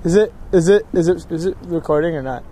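A young man landing a fish from a dock, playful and teasing, talking quickly when flustered, picked up by a companion's camera. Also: a little high, mellow, slight background noise. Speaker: fishing buddy. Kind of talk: casual outdoor banter. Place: riverside dock outdoors.

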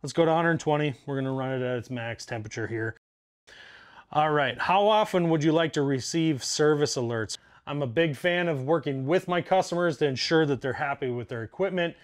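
A man's voice: fairly high pitch, fairly quick. A man in his forties, a plumber, casually talking through an installation step by step in a basement utility room. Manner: practical, walks through steps out loud. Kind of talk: casual how-to demonstration.

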